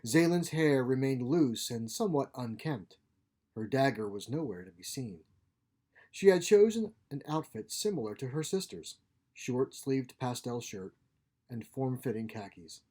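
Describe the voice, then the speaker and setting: A man's voice: mid-range voice, animated, unhurried, mellow. A man narrating a scripted short story as its author and reader. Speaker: author reading his own short story.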